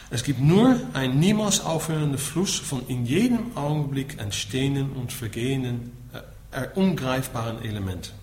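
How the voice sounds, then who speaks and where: Dutch-accented man lecturing with lively, swinging intonation. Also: mid-range voice. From Netherlands. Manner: explanatory, punctuating points with a questioning tag. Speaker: Buddhist teacher. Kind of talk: spoken lecture to listeners. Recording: echoey room with faint background noise.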